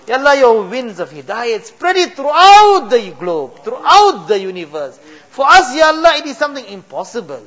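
Male imam, impassioned pleading prayer, high and very expressive. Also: normal speed. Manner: pleading, rising to impassioned cries. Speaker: imam leading a supplication. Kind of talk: emotional prayer in a mosque. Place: mosque.

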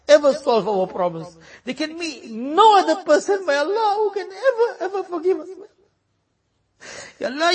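A woman with a high voice leads a long supplication on a radio program. She pleads, often close to tears, and rises with emotion before each phrase falls soft and low. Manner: tearful, pleading, repeated refrain of "my Allah". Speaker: radio dua leader.

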